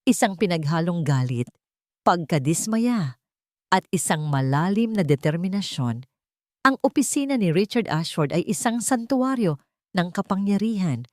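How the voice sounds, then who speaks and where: A woman's voice: lowish voice, very animated, moderate pace, dry recording, clean studio sound. A woman storyteller reading scripted, dramatic narration for an audio drama.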